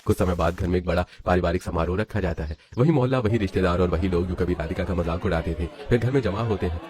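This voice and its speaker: A man's voice: low-pitched, quick pace, crowd noise. A man narrating a scripted story. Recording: music under the voice. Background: background music.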